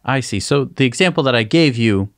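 A man's voice: mid-range voice, animated, fairly quick, dry recording. A young man from the United States co-hosting an interview in a studio, thinking aloud with casual fillers into a desk microphone. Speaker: podcast co-host. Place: studio with shelves, indoors. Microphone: desk mic on arm.

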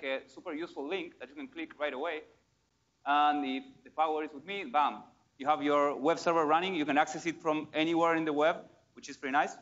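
A man's voice: on the high side, fairly bright tone, highs cut off. A man giving a casual, enthusiastic live demo at a tech meetup, with many fillers and restarts. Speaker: conference speaker.

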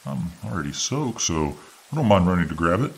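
A man's voice: low voice, big pitch swings, fairly quick, gravelly, breathy edge, full character voice. A man playing a hearty muscle hero. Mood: friendly. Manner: hearty, neighborly, slightly pleading. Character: friendly hulking muscular male town hero.

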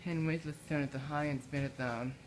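A man vlogging indoors about a ceiling fan, holding a phone camera, talking in a halting way with frequent restarts. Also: fairly high pitch, steady tone, noisy background. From Philippines. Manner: halting, repeats words and restarts often. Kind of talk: casual filmed commentary. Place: indoors in a house kitchen. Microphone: handheld phone camera.

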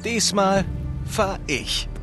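A man's voice: sits high, very slow. A man acting as a wisecracking, easygoing treasure hunter in a German game dub, with flippant, cocky banter. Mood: insistent. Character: wisecracking, easygoing male treasure-hunting adventurer. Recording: loud film music behind.

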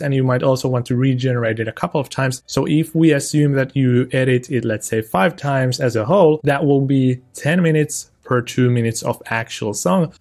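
A young man talks through a software tutorial in a casual, upbeat way. His voice sits at an ordinary pitch for a man, and he explains step by step, thinking aloud with little asides. He speaks from an indoor room. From Finland. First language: Finnish. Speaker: tutorial host. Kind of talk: casual screen-recorded tutorial walkthrough.

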